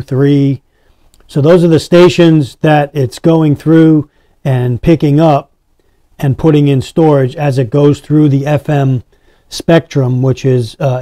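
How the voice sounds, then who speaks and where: A man's voice: a little high, mellow, dry recording. A man giving a casual hands-on product demonstration as a reviewer, talking to his viewers. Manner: addresses viewers as guys.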